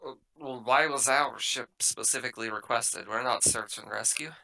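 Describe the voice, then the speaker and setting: A composed, authoritative starship captain in a sci-fi tabletop game, played by a man. The voice is forceful and bright at a middling pitch, with a direct, dry way of talking. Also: normal speed, gravelly, low-quality recording. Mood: firm.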